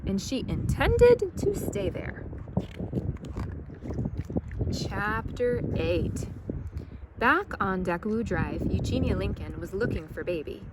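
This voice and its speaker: Animated woman reading a storybook. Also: medium pitch, heavy background noise. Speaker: storytime reader. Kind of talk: reading a children's storybook aloud.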